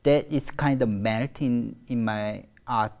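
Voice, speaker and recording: Low-voiced Korean woman, halting English. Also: a little mellow. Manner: halting, many fillers and restarts. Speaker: artist guest. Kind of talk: interview in English.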